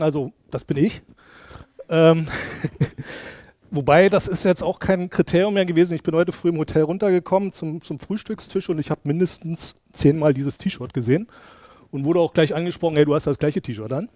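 A man's voice: fairly high pitch, dark tone, studio quality. A middle-aged man from Brandenburg giving a casual conference talk in a lecture hall, chatty and rambling, with many fillers and restarts. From Brandenburg, Germany. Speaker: conference speaker. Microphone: headset mic.

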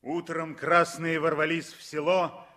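An actor narrating a 1960s radio play as a solemn, measured and expressive storyteller. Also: male voice, fairly high pitch. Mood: dramatic.

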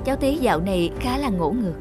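A woman's voice: medium pitch, expressive, fast talker, over music, lightly acted. A woman voicing a polite, concerned primary school teacher who explains courteously, in a cartoon dub. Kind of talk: cartoon dubbing.

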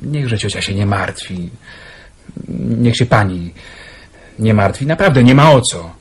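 An actor voices a young man in love in a radio play, earnest and gently teasing. His voice is fairly low and carries a husky grain. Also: lively intonation, unhurried, heavily acted. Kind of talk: radio drama acting.

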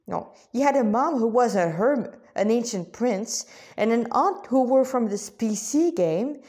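A woman's voice: on the high side, expressive, a little slow, a little mellow. A woman reading a story aloud with asides, often stumbling over words and correcting herself.

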